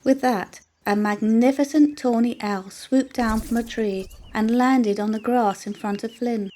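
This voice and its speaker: A woman's voice: on the high side, steady tone, unhurried, dark tone, slight background noise. A woman narrating a children's story as a scripted storyteller.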